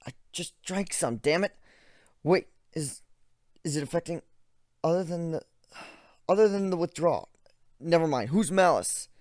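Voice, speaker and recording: A man's voice: on the high side, normal speed, long pauses, darkish tone, dry recording, studio quality, pitched above the performer's own voice, close to an everyday voice. A man voicing a volatile, short-tempered male griffin in a blunt, exclamatory way. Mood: agitated.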